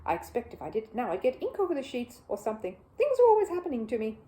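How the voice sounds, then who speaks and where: A woman's voice: on the high side, huge pitch swings, very fast, warm tone, pitched above the performer's own voice. A woman voicing a polite, hopeful and accident-prone young male bear in a children's storybook. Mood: wistful. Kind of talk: children's storybook character reading.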